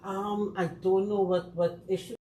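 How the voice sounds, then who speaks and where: A woman's voice: medium pitch, flat delivery, unhurried, dark tone. A woman with a Trinidadian accent speaking as a guest in a casual panel discussion. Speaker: guest from a community dance company. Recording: echoey room with faint noise.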